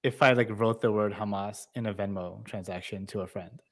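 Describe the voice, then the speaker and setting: A man's voice: lowish voice, steady tone, a little fast. A man co-hosting a talk show on a video call, adding casual commentary.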